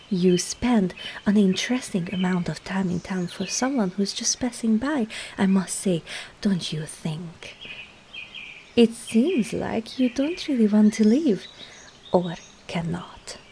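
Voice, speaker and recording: A woman's voice: medium pitch, gravelly, dark tone, a little hiss or hum. A woman voicing a sly, teasing traveling witch and herbologist in an ASMR roleplay, coy and murmuring into half-whispers as she addresses the listener. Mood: probing, soft.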